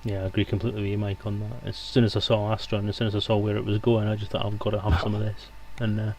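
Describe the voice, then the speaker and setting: A man's voice: on the low side, little pitch movement, rapid-fire, darkish tone, a little hiss or hum. A man hosting a live stream, casually interviewing his guests.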